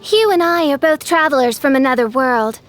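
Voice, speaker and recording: Video game role: high-voiced teen girl, pompous dark-royalty act, archaic theatrical delivery, music underneath. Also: animated, moderate pace. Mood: solemn, dramatic. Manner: grandiose, pompous archaic speech. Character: theatrical teenage girl styling herself a dark princess. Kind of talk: scripted video game character acting.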